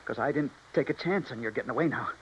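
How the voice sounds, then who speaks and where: A man's voice: medium pitch, fast, lightly acted. Man acting menacing American bandit, jittery, cocky, slangy, 1950s radio style, dull sound, faint noise. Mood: edgy, threatening. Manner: slangy, threatening. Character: cocky, jittery male gunman, menacing small-time bandit.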